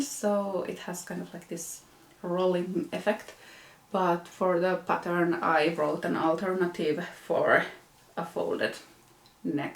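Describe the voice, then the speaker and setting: Finnish-accented woman, young adult, unhurried, creaky voice, knitting podcast host. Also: mid-range voice, reverberant. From Finland. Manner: hesitant, many fillers and restarts. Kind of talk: casual show-and-tell.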